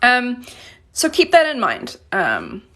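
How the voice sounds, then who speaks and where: A woman's voice: on the high side, huge pitch swings, slow, bright, forceful tone. A woman teaching Korean grammar in a live online lesson, patiently explaining examples and answering viewer questions. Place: indoors at a desk. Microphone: phone camera above a desk.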